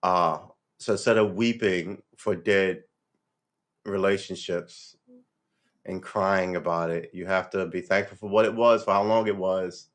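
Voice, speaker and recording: A man in his fifties with a somewhat low voice, talking in a loose, reflective monologue. He restarts often and leans on "you know" and "right?". When he gets animated his pitch jumps up sharply. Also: unhurried. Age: 50s. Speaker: vlogger. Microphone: livestream setup.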